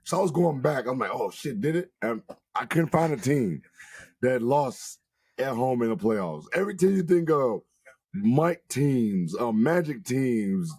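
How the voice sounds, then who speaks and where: A hoarse man who has nearly lost his voice, co-hosting a casual sports talk show with emphatic, profane opinions. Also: mid-range voice, unhurried, no echo. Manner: animated, emphatic, swears freely. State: losing his voice. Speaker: podcast co-host.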